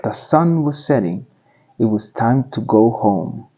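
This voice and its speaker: A man narrating a children's storybook aloud in simple, deliberate phrasing for young listeners. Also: medium pitch, expressive, dark tone, slightly rough, lo-fi sound.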